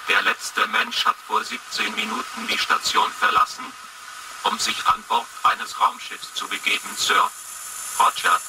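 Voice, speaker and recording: An actor playing a pedantic, obedient station robot with stilted, formal replies through a robot filter. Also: male voice, sits high, very animated, measured pace, vocal fry, pushed and bright, distant mic, exaggerated voice. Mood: flatly obedient. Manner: stilted, formulaic radio replies. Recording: noisy 1980s radio drama.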